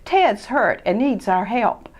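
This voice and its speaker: A woman's voice: a little high, lively intonation, slow pace. A woman voicing a sensible young boy mouse friend who speaks earnestly and urges action. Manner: earnest, urging.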